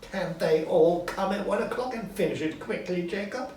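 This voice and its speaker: A frightened old miser from a storybook, performed by a man, pitched high and agitated. He sounds trembling, pleading and excitable. The room echoes and the mic is a little way off. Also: moderate pace, lightly acted. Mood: fretful. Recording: roomy echo, distant mic, background noise.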